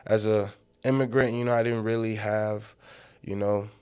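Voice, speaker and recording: A man's voice: lowish voice, steady tone, normal speed, darkish tone, clear, resonant tone. A young man in his twenties, a guest, from Uganda, talking easygoing and loose with lots of "you know" fillers. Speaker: guest, amateur fighter. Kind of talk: casual interview chat.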